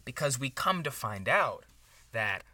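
Earnest book podcast dude, super bright punchy voice, bit distant from mic, rambly casual improv comedy chat. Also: male voice, medium pitch, big pitch swings, unhurried, no echo, heavily acted. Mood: excited. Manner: rambling, hedging plot recap full of "you know". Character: earnest male amateur book podcaster, eager writer friend. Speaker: co-host of a book review podcast.

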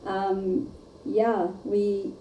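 A woman's voice: medium pitch, little pitch movement, drawn-out words, warm tone. Woman from Turkey, distant roomy sound. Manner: friendly, laughs easily. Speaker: guest, startup co-founder. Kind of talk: casual podcast chat. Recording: distant, roomy with faint noise.

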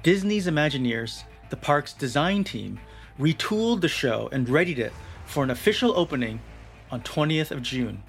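A man's voice: a little high, lively intonation, moderate pace, gravelly. A young man reading scripted documentary narration with a dramatic storytelling delivery. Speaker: narrator of a feature article. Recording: music bed under the voice. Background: music.

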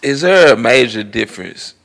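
A man with an ordinary mid-range voice, speaking on a podcast. He poses questions haltingly, pausing and restarting as he searches for words. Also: medium pitch, expressive, creaky, a bit bright. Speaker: podcast co-host. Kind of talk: casual podcast discussion.

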